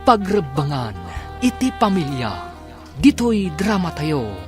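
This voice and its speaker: A man's voice: on the high side, sing-song, takes it slowly. A man with an Ilocano accent delivering dramatic scripted narration as a radio drama announcer. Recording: faint music bed.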